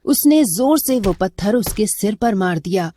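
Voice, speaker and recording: A woman's voice: mid-range voice, animated, unhurried, dark tone. A woman narrating a scripted dramatic story as a storyteller.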